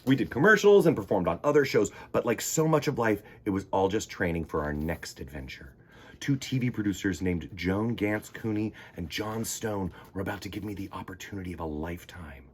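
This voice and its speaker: A man reading a children's picture book aloud in the first person, theatrical, sometimes dropping into hushed emphasis. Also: low voice, animated, fairly quick, breathy edge. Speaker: children's storytime reader.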